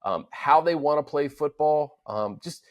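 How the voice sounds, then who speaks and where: A man's voice: a little high, expressive, unhurried. A man co-hosting a sports show, talking in a casual, informal way as he gives commentary.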